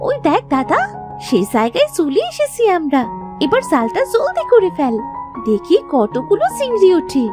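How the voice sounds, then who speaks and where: An eager little village girl in a children's cartoon, with a tiny, very high voice. She is childlike, pleading and easily frightened, swinging up and down in pitch over music. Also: wildly swooping pitch, moderate pace, exaggerated voice. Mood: excited.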